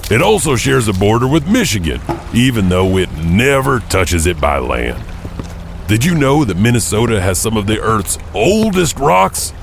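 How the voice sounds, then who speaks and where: Man playing a gravelly, folksy janitor host. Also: lowish voice, big pitch swings, moderate pace, slight background noise. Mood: chatty, jovial. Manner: joking, rambling, hesitant. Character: folksy, goofy male janitor telling strange tales. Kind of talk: in-character podcast monologue.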